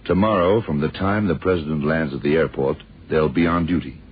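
An actor playing a gruff, confident government investigator in a radio drama, talking in a terse, world-weary way. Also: male voice, a bass voice, a little fast, exaggerated voice. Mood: firm, assured. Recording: dull, noisy old radio recording.

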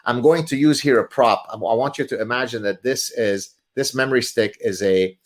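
A man whose native tongue is Arabic talks through his ideas as a guest author. His voice sits at an ordinary middle pitch. He speaks in a lecturing, theatrical way, driving his points home with vivid examples. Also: a little fast. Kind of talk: animated explanatory talk.

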